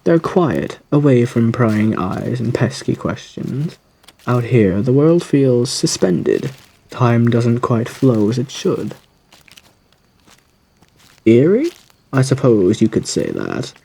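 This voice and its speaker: Male actor as suave woodland villain, gravelly and mellow, genteel menace, theatrical phrasing, ASMR audio roleplay. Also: medium pitch, big pitch swings, slow, exaggerated voice, rough recording. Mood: teasing, musing. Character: suave, sinister gentleman predator lurking in dark woods, theatrically menacing.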